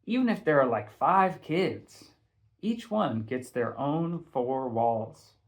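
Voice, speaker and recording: A man narrating a first-person audiobook in a conversational, expressive storytelling manner. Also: medium pitch, takes it slowly. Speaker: teacher reading a novel aloud.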